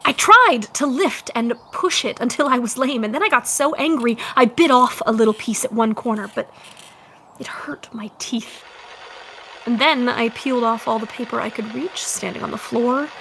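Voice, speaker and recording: An actress playing a confined young wife sliding into obsessive madness, confiding in hushed and singsong tones in a found-footage audio drama. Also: female voice, on the high side, normal speed, slightly rough, full character voice. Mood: agitated, disgusted. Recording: noisy lost-tape recording.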